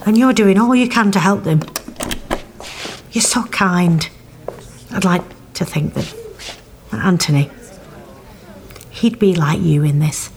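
A woman's voice: on the low side, slow pace, long pauses, darkish tone, slight background noise. An actress playing a middle-aged mother, anxious and grieving for her missing son, in an earnest audio drama style. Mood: gentle, wistful. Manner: emotional, earnest. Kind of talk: audio drama acting with narrated monologue.

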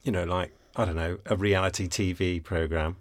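A man's voice: low voice, steady tone, moderate pace, no room sound. A man hosting a podcast, chatting casually and interviewing in a jokey, teasing way. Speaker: podcast host and interviewer, actor.

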